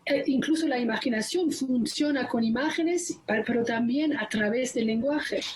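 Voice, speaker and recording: A woman author whose first language is Persian answers interview questions in a halting way, often searching for words. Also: a little high, a little mellow.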